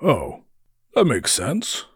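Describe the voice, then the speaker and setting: A big brutish halfkin fighter from a fantasy audio tale, acted by a male narrator. The voice is very rough and husky, lifted in pitch, with blunt, incredulous, colloquial delivery. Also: wildly swooping pitch, drawn-out words, dry recording, clean studio sound, heavily acted. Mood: puzzled. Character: big brutish halfkin warrior brother, blunt, dismissive, dim.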